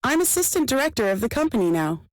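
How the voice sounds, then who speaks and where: An actress playing an older, devoted former ballerina from Sweden, reflecting sincerely in a listening exam monologue. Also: female voice, fairly high pitch, sing-song, quick pace, no echo. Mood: devoted, reflective. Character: older Swedish female ex-ballerina, devoted company administrator.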